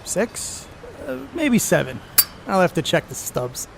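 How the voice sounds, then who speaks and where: A man plays a hard-living, jovial sports star in a period radio play. His voice sits fairly high and he talks in boastful, folksy slang. A faint outdoor traffic noise runs behind him. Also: big pitch swings, a little slow, creaky, full character voice. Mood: gruff, teasing. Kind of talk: audio drama acting, 1930s setting.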